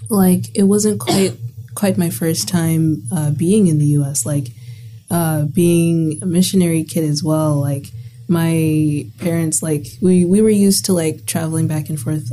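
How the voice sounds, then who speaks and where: A woman's voice: fairly low pitch, mellow, slight background noise, low-quality recording. A young woman from the Bahamas, a college student guest, talking casually and filling her speech with "like". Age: young adult. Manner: chatty, heavy use of "like". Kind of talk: casual conversation.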